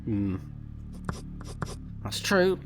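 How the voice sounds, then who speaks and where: A man's voice: mid-range voice, sing-song, drawn-out words, over music. A man giving casual commentary as he plays a game, reacting and reading text aloud. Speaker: let's play host.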